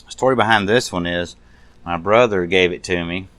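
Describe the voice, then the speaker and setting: A man's voice: low voice, moderate pace, fairly bright tone, no echo. A man giving a casual, unscripted hands-on show-and-tell as a hobbyist restorer, talking in a folksy, rambling way. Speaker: hobbyist radio restorer showing a project.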